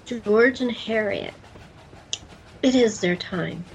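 A woman playing a calm, gently amused goddess of death in an audio fantasy drama, speaking deliberately and soothingly. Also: mid-range voice, vocal fry, lo-fi sound, slight background noise. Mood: tender, amused.

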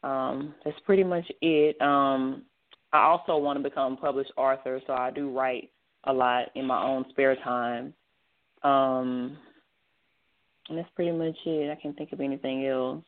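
A woman in her twenties from Texas, answering interview questions over the phone and pausing often on ums. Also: extremely low pitch, fairly even intonation. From Dallas, Texas. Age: 20s. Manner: hesitant, many ums, soft-spoken. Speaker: guest model. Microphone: phone line. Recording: tinny phone line.

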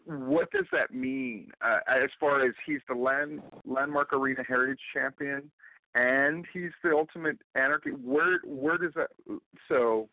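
Animated male radio host on a tinny line. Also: mid-range voice, unhurried, creaky, no room sound. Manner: eager, animated questioning with restarts. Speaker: radio talk show host. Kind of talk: interview host chat. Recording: tinny phone-like line, dry.

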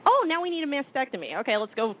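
A woman's voice: high voice, sing-song, rapid-fire. A young woman from Detroit with a Midwestern accent, a guest telling her story in a blunt, joking, casual way. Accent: Midwestern American. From Detroit, Michigan. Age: young adult. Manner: blunt, joking, self-mocking. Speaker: guest, cancer survivor. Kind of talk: casual interview chat. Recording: tinny phone-like line.

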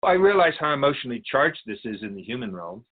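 Spirit guide for radio drama male actor: wise, formal, instructive, lively mid-range pitch. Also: fast talker, phone-quality sound. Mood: serene, instructive. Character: Keeper of Souls, serene wise otherworldly spirit guide.

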